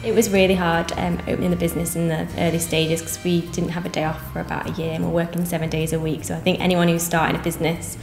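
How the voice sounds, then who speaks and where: Young woman, bakery co-owner, low earnest voice, echoey cafe room with music under it. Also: little pitch movement, quick pace, vocal fry, few pauses, darkish tone. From England. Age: 20s. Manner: earnest, plain-spoken with many ums. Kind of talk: interview answers. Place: cafe interior, seated on sofa.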